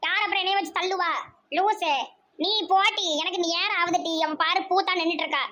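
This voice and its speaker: A bossy village mother played by a woman with a very high, sharp cartoon voice. She chatters brashly in a southern Tamil Nadu accent, mocking and teasing whoever she is talking to. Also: fairly even intonation, fairly quick, a little hiss or hum. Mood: dismissive. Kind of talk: amateur cartoon comedy voice acting.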